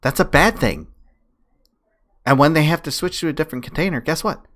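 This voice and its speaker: A man's voice: fairly high pitch, expressive, brisk, fairly bright tone. A man arguing casually and emphatically, repeating his points as in an online debate. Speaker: streamer and debater. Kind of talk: casual argumentative debate talk.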